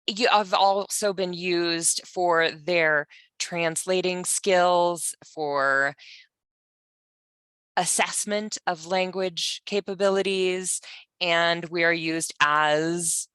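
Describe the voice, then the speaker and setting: A woman interpreting for a panelist, speaking in first person in a measured, halting way with pauses. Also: lowish voice, steady tone, fairly bright tone, clean tone, no echo. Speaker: sign language voice interpreter for a panelist.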